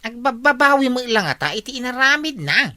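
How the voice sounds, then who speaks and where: A lively village woman in a comedy radio drama. Her voice is bright and animated with wide swings, exclaiming over the gossip in a dry, close recording. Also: sits high, a little fast, no room sound, exaggerated voice. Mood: commiserating.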